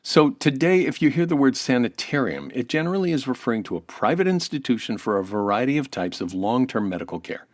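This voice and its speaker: A man's voice: mid-range voice, animated, fairly quick, few pauses, dry recording, clean studio sound. An American man as an interview guest, giving an affable, informative explanation in a semi-scripted style.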